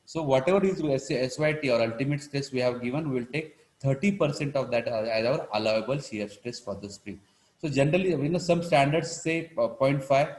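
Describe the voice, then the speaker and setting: A male lecturer with an Indian accent and a medium-pitched voice. He explains technical steps in a live online class, in a halting, repetitive manner. He often tags phrases with small check-in words. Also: a little mellow, low-quality recording. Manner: halting, repetitive, punctuated with check-in words like "right" and "you know". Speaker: engineering lecturer.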